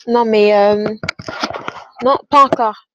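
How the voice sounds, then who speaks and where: A woman's voice: on the high side, steady tone, slow, highs cut off, background noise. A woman with a Canadian French accent moderating a webinar, brief and encouraging.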